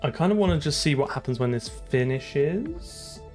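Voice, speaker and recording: A man's voice: a little high, very animated, normal speed, darkish tone, faint music behind. A man chatting casually on a live coding stream, thinking aloud in an informal way. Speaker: streamer and game programmer.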